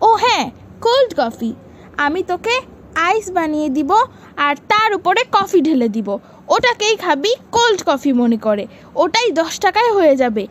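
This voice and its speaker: A woman's voice: very high-pitched, very animated, moderate pace. A woman voicing a stingy, short-tempered cartoon mother with a scolding, nagging manner. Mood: exasperated scolding. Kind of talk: cartoon skit dialogue.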